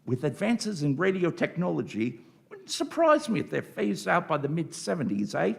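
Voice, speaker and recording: A man's voice: a little high, sing-song, normal speed, vocal fry, pitched above the performer's own voice, exaggerated voice. A man playing an opinionated, jokey English policeman on patrol in a live radio play, speaking colloquially. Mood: chatty.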